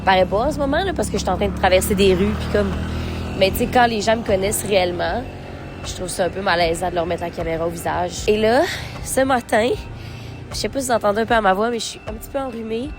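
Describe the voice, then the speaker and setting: A woman's voice: medium pitch, fast. A young woman with a Québécois French accent, chatting casually to camera as a travel vlogger, gushing and mixing English words into her talk. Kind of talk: casual vlog chat to camera. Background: background music.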